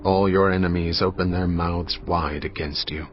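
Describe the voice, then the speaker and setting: A man narrating scripture in a solemn, measured, dramatic style. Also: deep voice, fairly even intonation. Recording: muffled, with music behind. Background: music.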